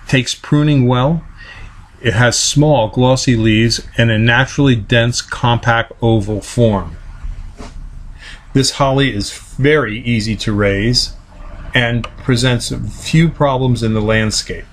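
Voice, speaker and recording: A man narrating a voice-over, reading plant descriptions from a script in an even manner. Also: medium pitch, slow. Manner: even, read-aloud delivery.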